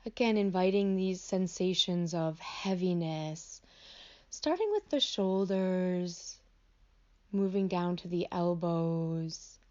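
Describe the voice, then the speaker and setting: Woman, meditation teacher, lowish pitch, gentle invitational phrasing, slightly muffled sound. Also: takes it slowly, smooth. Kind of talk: guided meditation, unscripted spoken instruction.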